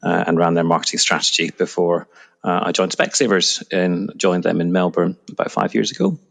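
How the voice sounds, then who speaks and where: A middle-aged man with a Scottish accent and a very low voice full of creak. He speaks conversationally, as a business executive being interviewed. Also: fairly even intonation, fairly quick, a bit bright. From Edinburgh, Scotland. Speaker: retail executive, interview guest.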